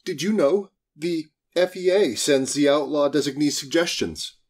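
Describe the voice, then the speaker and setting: A man's voice: a little high, moderate pace, pitched above the performer's own voice, lightly acted. A man voicing a disgruntled, sardonic male office outlaw in an audiobook, grumbling and exasperated. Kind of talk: audiobook character dialogue.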